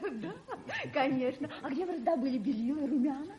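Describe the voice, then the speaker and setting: An actress playing a playful, coaxing young daughter of a wealthy household in a radio drama. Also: female voice, high voice, quick pace, lightly acted. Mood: playful, excited. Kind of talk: theatrical radio drama acting. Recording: dull 1980s radio play recording.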